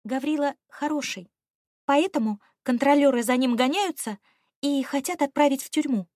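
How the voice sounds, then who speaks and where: Woman playing a bold, high-voiced adventurous girl. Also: normal speed, darkish tone, no room sound, clean studio sound, lightly acted. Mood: earnest. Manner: exclamatory, confident. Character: bold, boisterous, cheerful adventurous girl, loyal friend.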